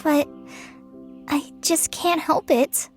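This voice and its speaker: A woman voicing a gentle, troubled young woman in a video game, speaking earnestly and hesitantly. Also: high voice, fairly even intonation, takes it slowly, faint music behind. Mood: troubled, sorrowful.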